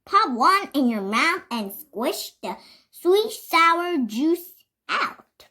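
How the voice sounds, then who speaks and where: A child reading a children's picture book aloud, carefully and a little haltingly, stressing words as they go. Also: high-pitched, very animated, pushed and bright. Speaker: reader.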